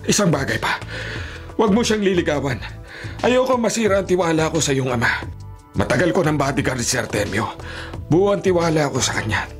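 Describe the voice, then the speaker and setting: A man's voice: a little high, expressive, fairly quick, long pauses, lightly acted. Man acting firm middle-aged mayor, music behind. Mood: stern. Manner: firm, authoritative. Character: strict middle-aged town mayor and protective father. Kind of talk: Filipino radio drama acting. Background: background music.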